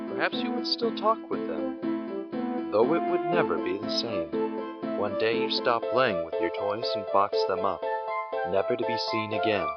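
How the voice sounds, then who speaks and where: A man narrating an audio drama in a reflective, philosophical way. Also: fairly low pitch. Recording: muffled lo-fi recording with loud guitar music behind.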